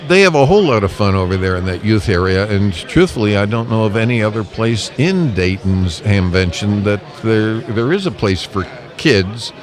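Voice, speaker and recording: A man's voice: fairly low pitch, lively intonation, moderate pace, hardly any pauses. A man being interviewed as a public relations manager, answering conversationally in an enthusiastic, talkative, emphatic way. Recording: live event with loud crowd behind. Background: busy crowd at a convention.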